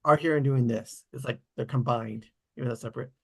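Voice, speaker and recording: A man's voice: mid-range voice, fairly even intonation, fast, creaky, a bit bright, breathy edge. A man in casual conversation about films and scripts at a table read.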